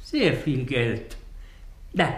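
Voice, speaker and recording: Greedy old miser, slow and halting. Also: male voice, medium pitch, very animated, echoey room. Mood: grudging, stingy. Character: greedy old miser, eager and stingy, haggling. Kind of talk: German radio play acting.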